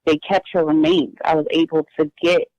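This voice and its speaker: A woman guest telling her adoption story in a personal interview, hesitant and halting, with frequent restarts. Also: deep voice, flat delivery, a little mellow, no room sound. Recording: dull lo-fi dry recording.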